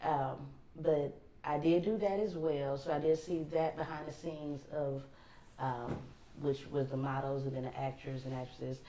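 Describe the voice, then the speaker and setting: A woman with a Los Angeles accent, co-hosting a casual chat and talking loosely with many pauses and fillers. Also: very deep voice, echoey room, low-quality recording. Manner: relaxed, rambling with many ums. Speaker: co-host.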